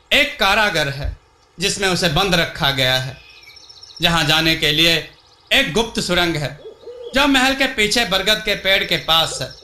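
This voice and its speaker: A man's voice: sits high, pushed and bright, roomy sound, slight background noise, heavily acted. A man voicing a wise, solemn elderly villager who cautions and guides in a storybook tale. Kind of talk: storybook dialogue.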